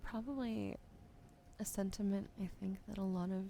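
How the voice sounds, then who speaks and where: A sleepy woman streaming ASMR with a sore throat, switching between soft whispers and casual chat about games into a close microphone. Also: mid-range voice, fairly even intonation, moderate pace, creaky. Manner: soft whispering between chatty gaming talk. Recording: noisy stream audio.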